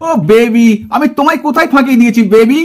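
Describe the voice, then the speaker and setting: A man acting as a young boyfriend in a puppet drama, with an unusually high voice and rapid delivery. He is eager to please, coaxing and apologetic, and slips English words into his pleading. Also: darkish tone. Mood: apologetic, soothing. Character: eager, placating young boyfriend, easily bossed around.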